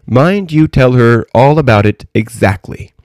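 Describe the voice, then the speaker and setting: A very old storybook grandmother, played by a man with his voice lifted. She is kindly and a little mysterious, speaking with gentle, measured patience in a dry, close sound. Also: medium pitch, expressive, no echo, voice pushed up, close to an everyday voice. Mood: gentle, reassuring.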